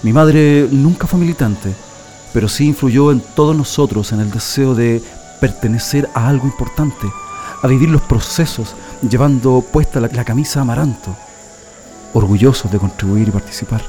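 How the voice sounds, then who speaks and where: A man's voice: medium pitch, normal speed, mellow, soft background music, natural voice. An actor playing a proud, nostalgic political prisoner in a radio drama, telling his story reflectively with a Chilean accent. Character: male political prisoner, proud nostalgic communist militant, immigrant's son.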